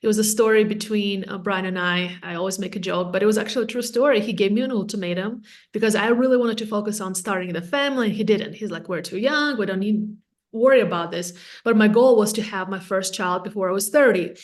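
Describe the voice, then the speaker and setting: A woman's voice: mid-range voice, fast talker. A woman in her thirties from Latvia with a Latvian accent, a real estate agent speaking candidly and energetically as an interview guest. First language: Russian or Latvian. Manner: candid, energetic, self-deprecating. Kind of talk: casual interview chat.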